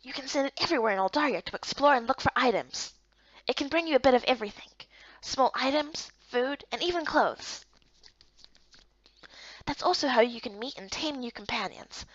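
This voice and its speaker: A woman's voice: high-pitched, lively intonation, moderate pace, no echo, muffled recording. A woman voicing a patient, helpful male guild guide in a visual novel game, speaking politely and instructively.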